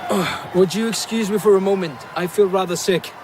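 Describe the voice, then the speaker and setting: A man's voice: high voice, fairly even intonation, fairly quick, crowd noise, noisy background, natural voice. Earnest, guarded young man, played by an actor. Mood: polite, uneasy. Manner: polite, cautious. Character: earnest, guarded young male foreigner, secretly in love. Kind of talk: radio drama acting.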